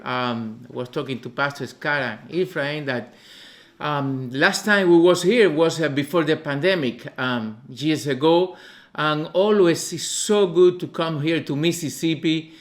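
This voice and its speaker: A Cuban man, a native Spanish speaker with a strong accent, giving a halting church testimony as a visiting ministry leader. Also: fairly high pitch. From Cuba. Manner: halting, searching for words. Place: church sanctuary.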